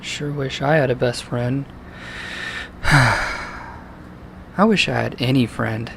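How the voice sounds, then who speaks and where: A man's voice: mid-range voice, slow, vocal fry, a bit bright, slight background noise. A man voicing a dejected, timid, bullied young creature boy, mopey and self-pitying, in an amateur cartoon audio drama.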